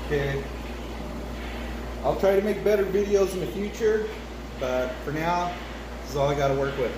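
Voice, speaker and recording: A middle-aged man in a closed home garage, rambling and halting through a casual welding demonstration, filmed on a phone set on the bench. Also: fairly high pitch. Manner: rambling, halting with many fillers. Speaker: hobby welder vlogger. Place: closed home garage workshop with air conditioning running. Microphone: phone camera set on the bench, sometimes far off. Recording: faint air conditioner hum in a garage.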